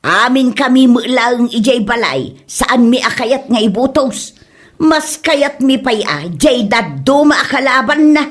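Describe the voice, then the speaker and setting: A woman's voice: fairly high pitch, animated, measured pace. A woman with an Ilocano accent playing a gossipy, blunt village woman in a comedy drama. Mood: indignant. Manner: exclaiming, nagging, blunt.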